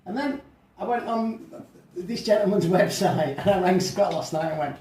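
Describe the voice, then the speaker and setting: A man's voice: high voice, expressive, normal speed, a little mellow. A man co-hosting a podcast, joking and teasing with lots of laughter. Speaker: podcast co-host. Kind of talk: casual banter. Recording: echoey room, mic at a distance, faint noise.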